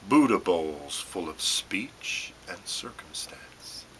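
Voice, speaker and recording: A man reading his own poetry as dramatic spoken word, in deliberate short phrases with pauses and emphatic stresses. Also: deep voice, big pitch swings, slight background noise. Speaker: poet reading own work.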